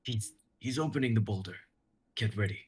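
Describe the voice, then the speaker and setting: A man playing an earnest, brotherly mythic hero with a husky voice in a live script reading. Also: lowish voice, fairly quick, a little mellow. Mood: urgent.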